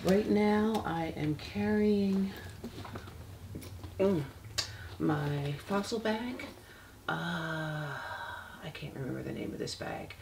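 Woman, 50s, soft-spoken, low voice, slow halting delivery, hissy background. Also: expressive, vocal fry. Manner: unhurried, halting, many fillers. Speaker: handbag vlogger. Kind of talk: casual product demo. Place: bedroom, seated on a bed. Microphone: external camera mic.

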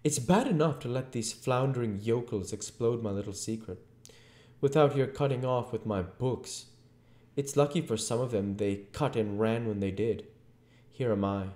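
A man voicing an irritable, menacing invisible man in an audiobook, sharp and commanding. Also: mid-range voice, moderate pace, voice pushed up, close to an everyday voice. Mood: angry, agitated. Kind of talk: audiobook character voice. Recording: echoey room.

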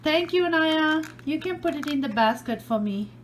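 A woman behind the camera in a home living room, gently and patiently playing pretend shop with a toddler. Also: sits high, animated, moderate pace, noisy background. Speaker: parent hosting a kids' channel. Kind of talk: playful pretend-play with a toddler.

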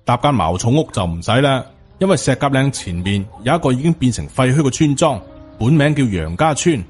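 A man's voice: medium pitch, big pitch swings, fairly quick, faint music behind, natural voice. A man with a Hong Kong Cantonese accent voicing a grim, short-tempered, protective undead Taoist master in an audio storybook, speaking with stern emphasis. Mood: stern warning.

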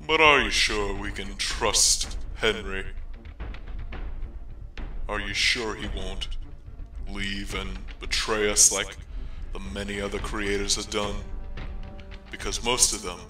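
A man's voice: lowish voice, fairly even intonation, takes it slowly, pauses often, bright, forceful tone, reverberant, far from the mic, soft background music, full character voice. A man voicing a loyal, wary cartoon wolf in a comic dub, solemn, earnest and heartfelt.

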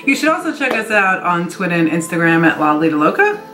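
A woman's voice: lowish voice, sing-song, fast, reverberant, over music. A woman in her forties co-hosting a casual vlog, chiming in with short, agreeable and teasing remarks. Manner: brief, agreeable interjections, teasing. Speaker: vlog co-host. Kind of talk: casual chat. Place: indoors, seated before a virtual backdrop.

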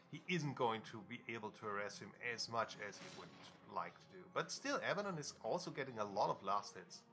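A man casting a video game match on his own, critical and dry, with frequent ums and pauses. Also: mid-range voice, pushed and bright. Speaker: game caster. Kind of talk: casual solo game commentary.

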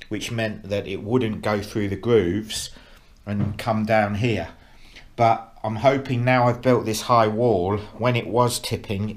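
A middle-aged man at home, explaining his model railway build in a halting way with many fillers, recorded on an action camera. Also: on the low side. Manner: hesitant, lots of uh fillers and restarts, plain explanatory. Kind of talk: casual show-and-tell progress update. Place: living room at home, indoors.

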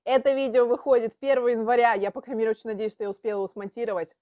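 Fast-talking young woman, casual chat. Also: a little high, flat delivery, mellow, dry recording. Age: young adult. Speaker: reaction video host. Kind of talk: casual chat, reacting to a video. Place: small room at home with bookshelves.

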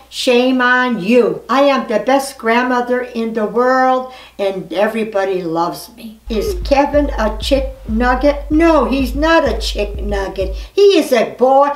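An elderly woman reading messages aloud and commenting casually in a blunt, scolding, animated manner. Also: on the high side. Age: 70s or older. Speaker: grandmother reading messages for a family video channel. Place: living room, indoors in an armchair. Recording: echoey room, distant mic, music.

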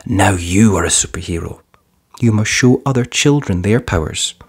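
A man voicing a kind young boy in a children's storybook, gently earnest and encouraging. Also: low voice, animated, moderate pace, husky, exaggerated voice. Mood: gentle encouragement.

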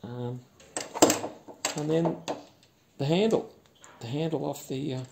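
A man, talking casually and haltingly in a garage as host of his own channel. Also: fairly high pitch, gravelly, warm tone, a little hiss or hum. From Australia. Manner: rambling, hesitant with many fillers. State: hoarse after a night out. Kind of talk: casual vlog. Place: cluttered garage workshop.

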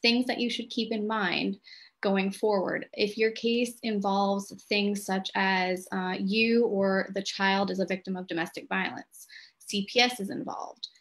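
A woman presenting a legal self-help clinic, explaining terms in a measured, plain-spoken way to a lay audience. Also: mid-range voice, steady tone, fairly bright tone.

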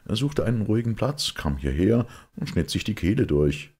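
A man's voice: lowish voice, very animated, normal speed, a little mellow, breathy edge, pitched above the performer's own voice. A man voicing an uneasy, impatient companion of a detective, agitated and questioning, in an audiobook story. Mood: puzzled, eager.

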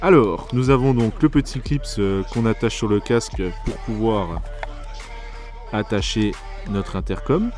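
Low-pitched man, casual product review. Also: measured pace, over music. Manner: informal, hesitant delivery with mild slang.

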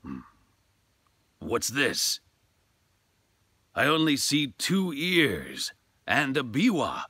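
A man voicing a menacing, commanding ghostly samurai in a ghost story, with drawn-out calls. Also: mid-range voice, wildly swooping pitch, pushed and bright, husky, no room sound, clean studio sound, voice pushed up, full character voice. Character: menacing ghostly male samurai hunting his prey.

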